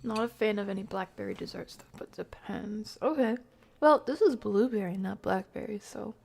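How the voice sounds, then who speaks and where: Woman livestream host, off-the-cuff casual reactions, gravelly fry in the voice. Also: mid-range voice, moderate pace, darkish tone, a little hiss or hum. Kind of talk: casual chat, reacting to videos and reading chat.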